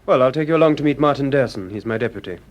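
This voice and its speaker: Guy acting impatient lab boss in radio play, medium pitch, businesslike and brisk. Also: lively intonation, lo-fi sound, close to an everyday voice. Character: brisk, impatient male laboratory chief giving orders.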